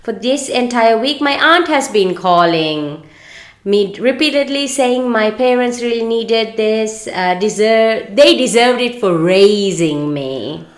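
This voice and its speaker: Halting woman podcaster in an echoey room. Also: on the high side, expressive. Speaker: podcast co-host. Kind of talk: reading a story aloud and casual discussion.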